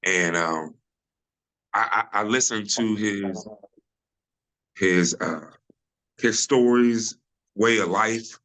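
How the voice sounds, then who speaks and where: Deep-voiced comedian man, forceful. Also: slow pace, long pauses. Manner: relaxed storyteller, many restarts and "you know". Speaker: comedian guest. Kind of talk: casual interview chat. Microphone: video call.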